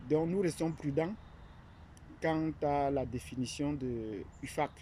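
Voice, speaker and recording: A man from Cameroon speaks in a mellow, rather dark voice, unscripted and searching for words. He pauses often, fills gaps and restarts his sentences. A faint hiss sits under the recording. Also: fairly high pitch. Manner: hesitant, many fillers and restarts. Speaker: academic presenting his platform. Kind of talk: unscripted monologue.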